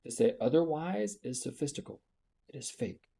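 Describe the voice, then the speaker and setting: A man reading an audiobook, voicing a resolute young woman governess in a quiet, firm voice. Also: a little high, expressive, moderate pace, creaky, husky, full character voice.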